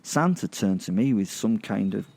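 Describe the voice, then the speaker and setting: Horror audio drama narrator: male, expressive swings in pitch, gravelly, wry, gleeful first-person storytelling. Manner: wry, gleeful, halting for effect.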